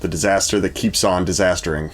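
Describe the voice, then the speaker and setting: A man's voice: sits low, steady tone, fast. A man co-hosting a casual reaction chat, laid back and mostly giving short agreeing replies. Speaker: reaction show co-host.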